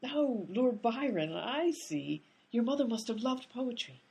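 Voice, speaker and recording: A brisk, exasperated amateur sleuth, played by a woman in a radio comedy. Her voice is very breathy and her pitch leaps widely as she bosses people around. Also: big pitch swings, warm tone, exaggerated voice. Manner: exasperated and bossy. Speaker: lead character, amateur sleuth. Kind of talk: comic radio play acting.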